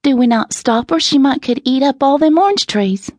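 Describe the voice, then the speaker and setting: A sweet seven-year-old country girl, acted by a woman narrator, raised in pitch. She drawls softly in Southern dialect, knowing and unafraid, like a storybook child. The recording is close and dry. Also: dry recording, natural voice. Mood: lively, warning. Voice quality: slow, soft, sweet. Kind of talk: audiobook character reading.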